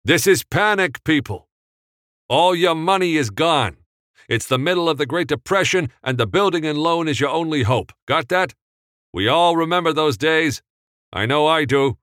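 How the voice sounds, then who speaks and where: A man voicing a commanding male film director who shouts orders on set. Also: lowish voice, very animated, measured pace, dry recording, clean studio sound, pitched above the performer's own voice, full character voice. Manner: barking, emphatic.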